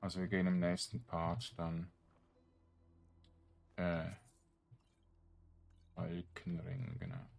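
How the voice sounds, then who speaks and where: Male gamer, very low register, unhurried delivery, hesitant off-the-cuff commentary on his own play. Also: completely flat delivery, creaky, darkish tone. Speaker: gaming streamer narrating his own play. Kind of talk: casual let's play commentary.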